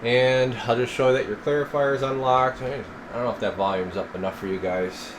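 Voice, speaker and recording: A man's voice: medium pitch, a little fast. A man giving a casual hands-on radio repair demo, thinking aloud informally as he works. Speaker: radio technician demonstrating a tuned-up CB radio. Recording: clear steady background noise, air conditioner hum.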